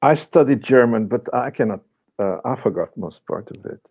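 A man whose first language is Portuguese, a psychotherapist speaking as a guest in a casual interview, halting with many fillers and restarts. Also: mid-range voice, expressive, husky. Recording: dull lo-fi audio.

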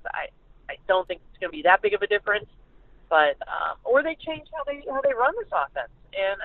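A woman sports writer giving conversational analysis as a guest, thinking aloud somewhat hesitantly over a phone call. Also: lowish voice. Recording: band-limited phone line.

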